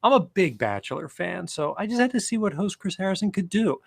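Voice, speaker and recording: A man's voice: high voice, expressive, fairly quick, creaky. A man co-hosting a comedy show, bantering and reading reviews aloud in a playful, mock-dramatic way.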